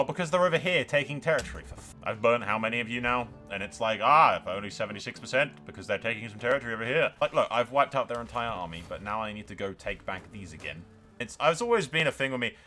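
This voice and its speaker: Animated, bright-voiced man doing game commentary. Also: mid-range voice, quick pace, faint music behind. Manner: sweary, blunt, exclamatory. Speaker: gaming video host. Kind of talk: casual gameplay commentary with role-play asides.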